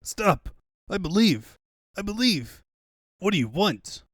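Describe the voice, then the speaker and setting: A man's voice: sits high, sing-song, slow pace, fairly bright tone, no room sound, studio quality, pitched above the performer's own voice, heavily acted. A man voicing a pompous, bullying mad-doctor villain in a comic dub, with a grandiose, menacing delivery. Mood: panicked blustering.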